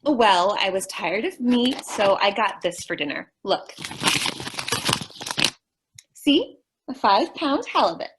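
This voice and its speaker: A woman's voice: mid-range voice, big pitch swings, takes it slowly, long pauses, heavy background noise. A woman playing a naive, sweet, scatterbrained young woman in an old-time radio comedy, with an innocent, hesitant delivery. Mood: guileless.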